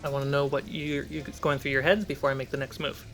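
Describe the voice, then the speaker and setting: A man's voice: mid-range voice, level tone, quick pace, creaky, fairly bright tone, faint music behind. A man narrating a tabletop fantasy game as game master, setting scenes and prompting players.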